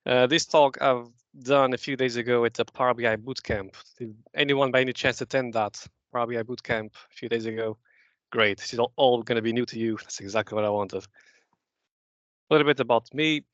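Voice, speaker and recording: Man, from Portugal, relaxed slide talk with many restarts, dry and a bit dull on a meeting call. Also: mid-range voice, moderate pace, dry recording. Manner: relaxed, explanatory, frequent "uh" restarts. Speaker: presenter at a user group.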